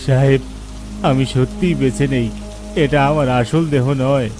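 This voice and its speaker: A man's voice: medium pitch, fairly even intonation, measured pace, over music, close to an everyday voice. A man voicing a deferential, pleading middle-aged ghost of a murdered trader in a horror cartoon. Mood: solemn pleading. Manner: deferential, pleading, addresses listener as sir.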